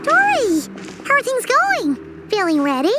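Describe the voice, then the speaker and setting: A tiny fairy sidekick from a video game, with an extremely high, squeaky childlike voice. She is curious, earnest and exclamatory, and her pitch bounces around a lot. Loud music plays behind her. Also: wildly swooping pitch, unhurried, heavily acted. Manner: speaks of herself in third person, exclamatory.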